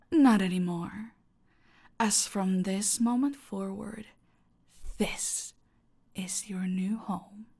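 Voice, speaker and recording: An actress playing a menacing, silky, aristocratic cartel boss in a roleplay audio drama, condescending and deliberate, often sinking toward a whisper. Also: female voice, mid-range voice, darkish tone.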